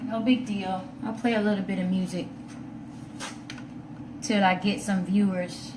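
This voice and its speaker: A woman's voice: mid-range voice, fairly even intonation, measured pace. A woman hosting a casual live stream, chatting informally to viewers and half to herself as she sets up. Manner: informal, chatty, talking to herself while setting up. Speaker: livestream host. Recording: roomy, distant mic with loud background noise.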